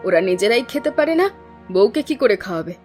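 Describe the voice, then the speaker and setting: A woman's voice: mid-range voice, sing-song, brisk, darkish tone, faint music behind, lightly acted. A woman playing an irritable, fed-up young village wife in a cartoon folk tale, speaking in a curt, complaining way. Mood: bitter, complaining. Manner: impatient, complaining. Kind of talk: Bengali animated folk-tale dialogue.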